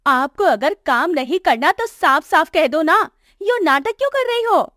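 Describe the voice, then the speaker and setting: A woman's voice: sits high, expressive, unhurried, fairly bright tone, dry recording, clean studio sound. A woman voicing an arrogant young working daughter-in-law in a moral story, scornful and complaining. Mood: contemptuous, irritated. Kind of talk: acted moral story dialogue for animation.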